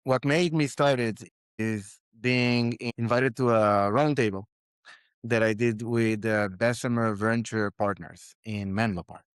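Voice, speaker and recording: A man from Israel, speaking as a founder guest, with a smooth, resonant voice at a middle pitch. He tells animated stories, full of fillers and restarts. The sound is close and dry. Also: measured pace, no echo. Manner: animated anecdotes with fillers and repeated words. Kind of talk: conversational storytelling.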